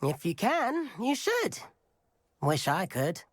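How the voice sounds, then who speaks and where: Eager, high-voiced young student flyer. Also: male voice, huge pitch swings, slow, no room sound, clean studio sound, exaggerated voice. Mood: eager, wistful. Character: eager, chatty young broom-flying student. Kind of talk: video game voice acting.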